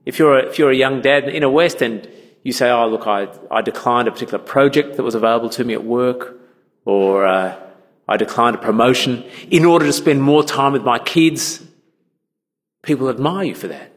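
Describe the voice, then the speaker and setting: A man's voice: mid-range voice, brisk, long reverb tail. A man preaching a sermon as a minister, conversational and acting out the people he quotes. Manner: conversational, acts out quoted voices.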